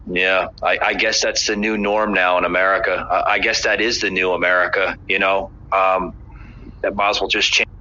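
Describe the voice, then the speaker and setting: A man with a low, forceful and sharp voice hosts a casual political podcast. He halts, repeats himself and fills gaps with "uh". The recording is muffled and lo-fi. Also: flat delivery, normal speed, a little hiss or hum. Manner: hesitant, repeats words, many "uh"s. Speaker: podcast host.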